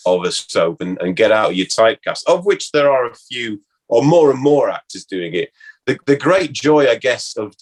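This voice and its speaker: Middle-aged British man, halting and chatty. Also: mid-range voice, poor audio. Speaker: guest former actor. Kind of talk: casual interview chat.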